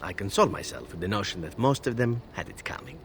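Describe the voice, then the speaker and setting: An actor playing a suave, roguish and unrepentant elf assassin in a fantasy video game, with a silky, theatrical delivery. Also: male voice, on the low side, lively intonation, fast talker, slight background noise. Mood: proud, relishing.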